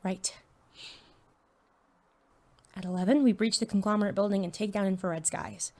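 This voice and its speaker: A young female superhero sidekick acted by a woman tells her story in an audio drama. She speaks at a middling pitch, nervous yet eager. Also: fairly even intonation, normal speed, darkish tone, natural voice. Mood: focused, unsure. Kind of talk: audio drama dialogue and first-person narration.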